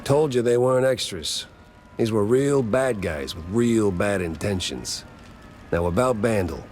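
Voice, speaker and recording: A man's voice: fairly low pitch, animated, unhurried, darkish tone, a little hiss or hum. A man playing a world-weary, wisecracking cartoon mouse private detective with a dry, sardonic delivery in a hardboiled noir game. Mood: serious, gruff.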